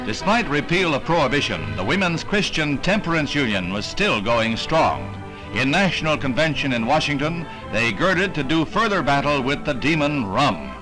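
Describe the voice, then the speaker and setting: A man's voice: a little high, very animated, moderate pace, rough recording. An American man reading scripted 1960s newsreel narration in a formal, dramatic announcer's delivery. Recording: vintage newsreel soundtrack with music. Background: newsreel music.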